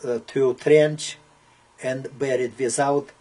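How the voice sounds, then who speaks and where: An elderly man with an Eastern European accent gives a personal oral history. His voice is raspy and worn, at a middle pitch. He speaks slowly in English that is not his first language, pausing often between short, broken phrases. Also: fairly even intonation, a little mellow. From Poland (now Belarus). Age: 70s. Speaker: Holocaust survivor giving testimony.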